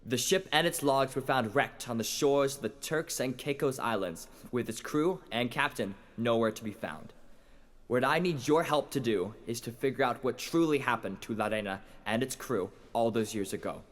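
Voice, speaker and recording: A man playing an earnest, puzzled lecturer in an audio drama, speaking formally and deliberately. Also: medium pitch, close to an everyday voice. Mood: earnest, intrigued. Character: puzzled, earnest lecturer presenting a maritime mystery. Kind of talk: scripted audio drama monologue.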